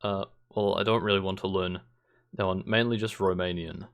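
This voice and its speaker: A man's voice: low voice, steady tone, moderate pace. Laid-back young male podcast host. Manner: laid-back, short replies, dry jokes. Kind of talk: casual chat.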